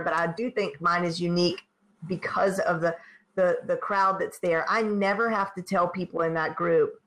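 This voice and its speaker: A woman's voice: lowish voice, fairly bright tone, poor audio. A woman guest on a podcast who creates online courses, talking in a chatty way with frequent ums and uhs. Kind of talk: podcast interview.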